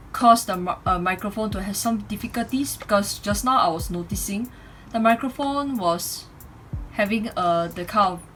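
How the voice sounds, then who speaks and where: A woman's voice: mid-range voice, a bit bright, rough recording. A woman in her twenties with a Singaporean accent, speaking as an amateur reviewer into a microphone in a room at home. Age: 20s. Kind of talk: casual microphone review with read-aloud speech samples. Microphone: USB condenser microphone. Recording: home USB microphone recording, clear background noise.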